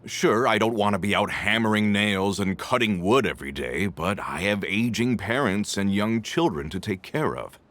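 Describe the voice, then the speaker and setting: A man's voice: fairly low pitch, lively intonation, moderate pace, hardly any pauses, a bit bright. An actor playing a weary, hesitant middle-aged working man in a video game, plainspoken and resigned.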